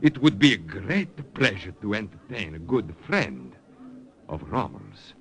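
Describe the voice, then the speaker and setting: An actor playing a fawning, excitable Italian count who flatters effusively and calls out shrilly, in 1950s radio acting style. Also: male voice, fairly low pitch, measured pace, heavily acted. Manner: flattering and effusive, shouts shrilly when calling out.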